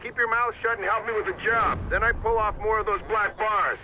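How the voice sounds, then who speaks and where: An actor playing a brash, cynical middle-aged American CIA agent in a video game, with glib, sardonic, folksy patter. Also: male voice, high-pitched, fairly even intonation, brisk, dull audio, natural voice. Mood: sardonic, bossy. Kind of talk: video game cutscene acting. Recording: loud music or noise behind.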